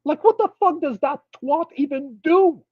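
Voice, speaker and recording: A man's voice: very high-pitched, moderate pace, narrow, tinny audio, crowd noise. A Canadian man with a French accent in a casual political chat, blunt, scornful and full of swearing.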